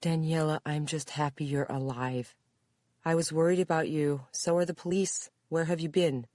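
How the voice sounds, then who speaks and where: A woman's voice: low voice, fairly even intonation, normal speed, a little mellow, dry recording, voice pushed up, close to an everyday voice. A female narrator voices an uneasy younger woman in an audiobook. She is polite and guarded, offering short reassurances and questions. The recording is dry. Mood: reassuring.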